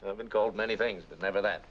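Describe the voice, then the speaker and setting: An actor as a wry detective, noisy old film. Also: male voice, lowish voice, natural voice. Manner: dry, no-nonsense. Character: wry, blunt married private detective, skeptical and businesslike. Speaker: private detective. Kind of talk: 1940s film acting. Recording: muffled, noisy 1940s film soundtrack.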